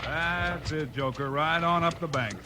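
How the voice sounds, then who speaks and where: A man playing a steady, commanding frontier lawman hero with decisive Western phrasing in a 1950s radio western. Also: fairly high pitch, a little slow, natural voice. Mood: urgent. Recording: noisy old 1950s radio recording.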